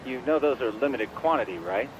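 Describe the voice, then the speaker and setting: A geeky, eager search expert, played by a male actor in a game cutscene. He speaks at a middle pitch in a quirky, chuckling manner, over clear background noise. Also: lively intonation, a little fast, lightly acted, low-quality recording. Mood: impressed, teasing. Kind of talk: video game cutscene dialogue.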